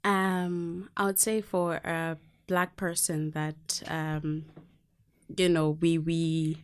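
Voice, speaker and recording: Earnest woman, low voice. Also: flat delivery, takes it slowly, smooth. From Zimbabwe, raised in South Africa. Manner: earnest, hesitant with frequent ums. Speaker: guest. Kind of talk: personal storytelling in an interview.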